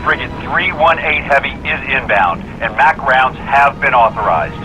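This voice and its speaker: An actor playing a military controller in a video game cutscene, with formal, procedural delivery. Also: male voice, mid-range voice, normal speed, dull audio, noisy background, close to an everyday voice. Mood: official.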